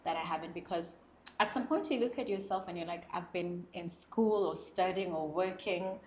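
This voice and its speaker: A woman's voice: on the low side, moderate pace, darkish tone, roomy sound, a little hiss or hum, rough recording. A young woman from South Sudan, a guest speaking earnestly and expressively in an interview, laughing often. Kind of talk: reflective interview conversation.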